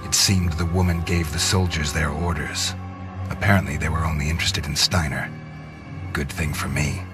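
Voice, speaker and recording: An actor playing a grim half-vampire antihero who narrates a video game in a terse, deadpan, noir-like way. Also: male voice, a bass voice, normal speed, over music. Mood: brooding.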